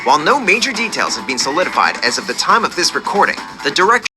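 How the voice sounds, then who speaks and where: Animated male countdown narrator over loud music. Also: a little high, fast talker. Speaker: video narrator.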